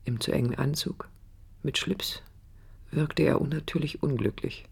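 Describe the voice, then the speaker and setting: Radio drama lead: disillusioned young female architect, breathy and gravelly, intimate literary recollection. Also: sits low, a little slow, a little mellow, exaggerated voice. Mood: wistful, ironic. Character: disillusioned young woman architect recalling her failed marriage. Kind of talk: dramatic monologue in radio play.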